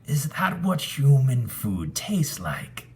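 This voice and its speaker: A needy, queasy storybook ghost, acted out by a man reading aloud, pitched far up into a childlike whine. The words stretch out slowly and plaintively, swinging widely in pitch. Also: wildly swooping pitch, a little mellow, a little hiss or hum, heavily acted. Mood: puzzled.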